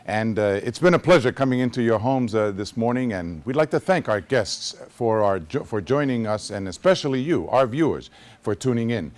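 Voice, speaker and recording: A man's voice: medium pitch, expressive, moderate pace, darkish tone. A man hosting a live community TV show, interviewing a guest and signing off with friendly filler-laden talk. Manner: friendly, many fillers and restarts. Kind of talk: live studio interview and sign-off. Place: TV studio.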